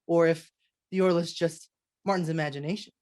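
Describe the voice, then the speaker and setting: A woman's voice: fairly low pitch, steady tone, a little fast, a little mellow. A woman hosting as a 1940s-style radio announcer, reading a script with theatrical showmanship. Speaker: radio show host, announcer.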